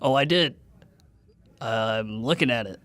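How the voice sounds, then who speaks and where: A man chatting and role-playing casually as a player in a tabletop game, with halting, deadpan phrasing. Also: mid-range voice, no echo. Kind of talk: casual improvised role-play and chat.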